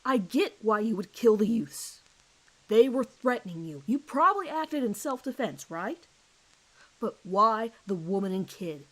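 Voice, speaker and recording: A woman's voice: mid-range voice, expressive, measured pace, poor audio. Horror drama interrogator: hard-nosed male detective voiced by a woman, blunt, pressing, sardonic. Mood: accusing, forceful. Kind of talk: audio horror drama reading.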